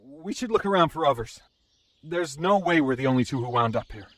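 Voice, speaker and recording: A man's voice: on the high side, lively intonation, fairly quick, no room sound. A man playing an earnest, polite young man in a robot's body in an audio drama. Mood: puzzled.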